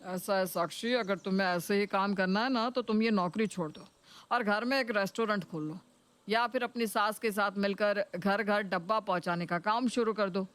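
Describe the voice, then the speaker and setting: A woman's voice: mid-range voice, moderate pace, fairly bright tone, clear, resonant tone, no room sound, lightly acted. A woman voicing a stern, sarcastic, scolding office boss in a Hindi cartoon story.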